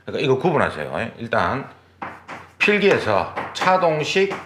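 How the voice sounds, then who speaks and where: A man's voice: medium pitch, wildly swooping pitch, moderate pace, noisy background. A man teaching an exam prep class, explaining step by step while drawing, repeating key terms and checking in with his students. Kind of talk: lecture, drawing on a board. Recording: echoey classroom.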